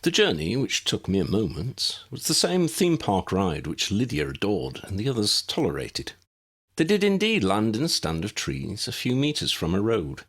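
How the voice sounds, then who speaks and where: A low-pitched male narrator reads fiction aloud. His voice is husky, and his intonation swings widely as he tells the story in an expressive audiobook style. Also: normal speed. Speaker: audiobook narrator reading own fan fiction.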